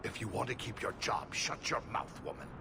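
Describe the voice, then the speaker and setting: An actor playing an indignant, pleading middle-aged dwarf merchant, a father, with a gravelly voice, in a video game. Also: fairly low pitch, lively intonation, normal speed, background noise.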